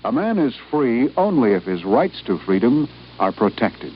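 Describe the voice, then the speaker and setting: A man's voice: mid-range voice, big pitch swings, moderate pace. A man reading a scripted 1950s patriotic radio announcement in a solemn, oratorical manner. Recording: muffled old radio recording, faint noise.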